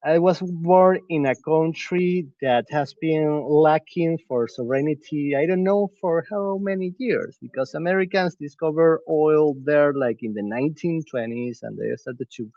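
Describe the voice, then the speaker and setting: A man's voice: on the high side, measured pace, few pauses, dark tone, no room sound. Man talking earnestly, dry dull sound. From Venezuela. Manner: earnest, searching for words, frequent restarts. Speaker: podcast guest. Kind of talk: reflective conversational talk.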